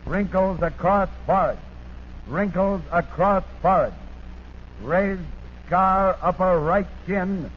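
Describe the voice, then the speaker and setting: A man reading a scripted 1940s radio police bulletin in deliberate, clipped items. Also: sits high. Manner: deliberate, clipped listing with repetition. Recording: tinny noisy 1940s radio recording.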